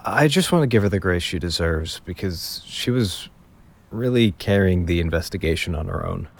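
Male actor as weary young amateur investigator, low voice, casual, hesitant, wry, audio drama. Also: animated, moderate pace, gravelly, noisy background. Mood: rueful, confiding. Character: weary hungover young man, reluctant investigator telling the story. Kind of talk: naturalistic audio drama acting and narration.

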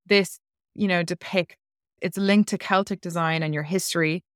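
A woman's voice: fairly low pitch, steady tone, normal speed, smooth, no room sound, clean studio sound. A woman guest on a podcast telling a personal story in a chatty, rambling way, full of "like" fillers. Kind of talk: casual interview storytelling.

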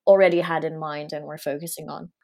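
Low-pitched woman hosting a podcast. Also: level tone, quick pace, gravelly, dark tone. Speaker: podcast host. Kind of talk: scripted intro and casual interview.

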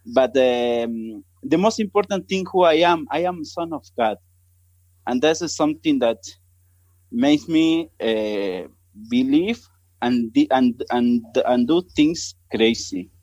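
A man in his thirties from Argentina, with an Argentine Spanish accent and Spanish as his first language, speaking haltingly as a missionary guest on a podcast. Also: mid-range voice, expressive, a little mellow, no room sound, muffled recording. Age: 30s. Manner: halting, searching for words in English. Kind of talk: podcast interview.

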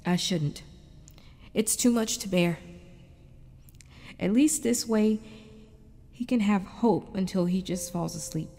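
A woman's voice: medium pitch, slow pace, vocal fry, long pauses, warm tone. A woman playing an anguished but determined wife and mother in an audio drama, narrating in a confiding, reflective way. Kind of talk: first-person dramatic narration.

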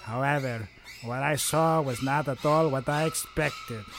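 A man's voice: mid-range voice, unhurried, over music. A man co-hosting a podcast, chatting casually and jokingly with friends and prone to giggling. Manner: giggly, breaking into laughter. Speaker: podcast co-host.

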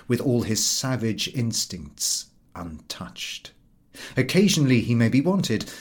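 A man narrating a literary essay as an audiobook reader, in measured, emphatic, oratorical phrasing. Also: on the low side, slightly rough.

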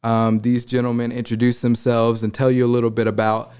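A man hosting a casual podcast chat, upbeat and quick to laugh, often repeating his phrases. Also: medium pitch, completely flat delivery, darkish tone, clear, resonant tone. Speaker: podcast host.

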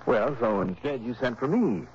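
An actor playing an easygoing, shrewd insurance investigator in an early 1960s radio drama, with a probing, wry, conversational manner. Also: male voice, mid-range voice, expressive, moderate pace, a little mellow, lightly acted. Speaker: lead actor and narrator. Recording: muffled, noisy old radio broadcast.

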